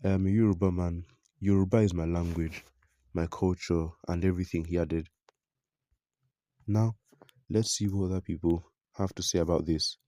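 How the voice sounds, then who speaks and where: Deep, dark-voiced male news narrator. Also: fairly even intonation, normal speed, long pauses, no echo. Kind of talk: scripted news narration, reading out quotes and comments.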